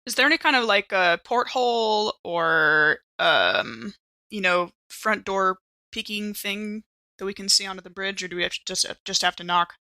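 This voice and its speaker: A woman's voice: mid-range voice, normal speed, sharp tone. A woman playing a tabletop role-playing game, asking questions casually and speaking for her character in her own voice.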